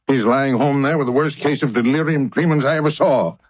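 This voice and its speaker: A man's voice: medium pitch, a little fast, lightly acted. An actor playing an angry, demanding old banker and outraged father in 1930s radio style, on a vintage recording. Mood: indignant. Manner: blustering, demanding.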